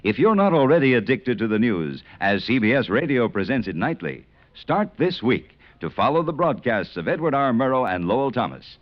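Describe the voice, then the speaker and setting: Animated mid-century American radio announcer, man. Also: medium pitch, moderate pace, hardly any pauses. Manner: formal, polished. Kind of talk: scripted 1950s radio promotional announcement. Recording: dull vintage radio recording.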